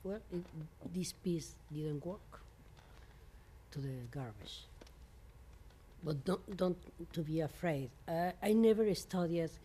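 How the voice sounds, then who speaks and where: A woman from Mexico speaking English with a Mexican Spanish accent as a non-native speaker, giving an interview as a composer in halting English full of fillers and restarts. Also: lowish voice, mellow, slight background noise. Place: venue in New York.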